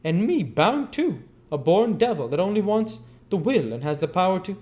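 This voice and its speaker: A man voicing a scheming old male gang master, oily and coaxing but quick to fury. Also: on the high side, lively intonation, darkish tone, voice pushed up. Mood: furious.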